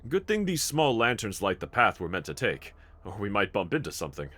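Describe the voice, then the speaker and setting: A man's voice: on the low side, wildly swooping pitch, a little fast, sharp tone, no room sound, heavily acted. A man playing a bold, earnest, reassuring demon slayer swordsman in an anime audio roleplay, speaking with formal, courteous diction. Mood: intrigued, attentive.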